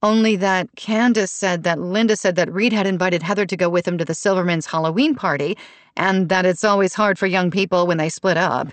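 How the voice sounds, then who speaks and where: A woman's voice: lowish voice, steady tone, a little fast, no echo, close to an everyday voice. A woman voicing a gossipy, tactless mother with a chatty manner in an audiobook. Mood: flustered. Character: gossipy, tactless horse-stable-owning mom. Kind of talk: audiobook character dialogue.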